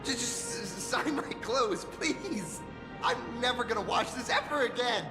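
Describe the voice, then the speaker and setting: Excitable high-pitched young hero. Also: male voice, measured pace. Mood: starstruck, gushing. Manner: gushing, flustered, stammering. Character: excitable earnest young male hero-actor, starstruck fan. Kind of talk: anime-style game voice acting. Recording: long reverb, music behind.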